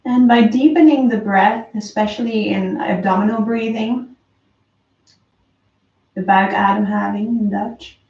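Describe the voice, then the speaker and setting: Woman leading a slow breathing meditation, soft and calm, far off in a roomy dull recording. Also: medium pitch. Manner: slow, soft, calm guidance with long pauses. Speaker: meditation teacher. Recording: roomy, distant, dull lo-fi sound.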